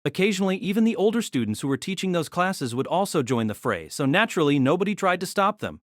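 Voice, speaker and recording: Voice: medium pitch, big pitch swings, fast talker, no echo, studio quality. A narrator reading scripted light-novel story prose for an audio drama.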